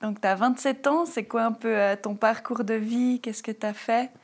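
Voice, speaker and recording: A woman's voice: on the high side, unhurried. A woman interviewing a churchgoer, asking questions in a casual, informal way.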